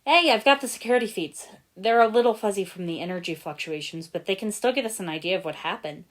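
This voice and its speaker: A woman voicing a chatty, wisecracking young male ninja in a story, informal and quick-witted. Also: medium pitch, close to an everyday voice. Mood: eager.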